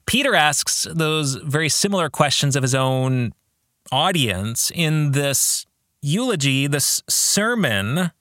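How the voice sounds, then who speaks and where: A man's voice: on the high side, a bit bright, dry recording, clean studio sound. An American man hosting a podcast, talking earnestly and reflectively to listeners, with emphasis and probing questions. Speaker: podcast host and author leading a book club.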